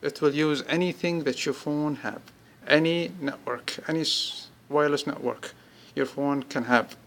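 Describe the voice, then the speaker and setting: A man giving an informal, unscripted product demonstration, speaking haltingly in short pieced-together bursts. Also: on the high side, a bit bright. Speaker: app demonstrator.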